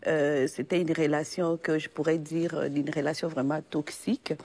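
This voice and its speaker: Soft-spoken woman. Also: sits low, flat delivery. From Democratic Republic of Congo. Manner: soft, halting, pausing often. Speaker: guest evangelist. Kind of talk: personal testimony in a faith talk show.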